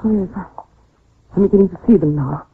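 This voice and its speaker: An actress playing a frightened, insistent fiancée with a pleading, dramatic delivery in an old-time radio horror play. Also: female voice, fairly low pitch, fairly quick, close to an everyday voice. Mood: uneasy. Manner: pleading, dramatic, breathlessly emphatic. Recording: noisy, tinny old radio recording.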